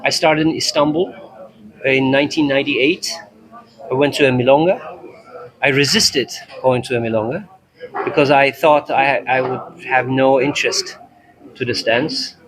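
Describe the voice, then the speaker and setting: A man from Turkey with Turkish as his first language, a tango instructor telling his story in a relaxed, thoughtful way in an interview. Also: mid-range voice, normal speed, pauses often, crowd noise, poor audio. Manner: thoughtful, narrates in chapters, acts out dialogue.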